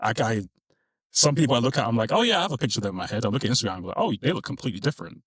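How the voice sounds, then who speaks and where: A man's voice: mid-range voice, expressive, rapid-fire, fairly bright tone, no echo. A man who is a podcast guest, answering in an easygoing, casual way and saying "honestly" a lot.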